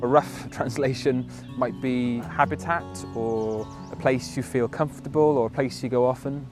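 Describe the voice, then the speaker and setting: A man from West Wales, a folk musician, speaking in a measured, thoughtful way with hesitant pauses. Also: medium pitch, fairly even intonation. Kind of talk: reflective spoken appeal for a project. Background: plucked guitar music.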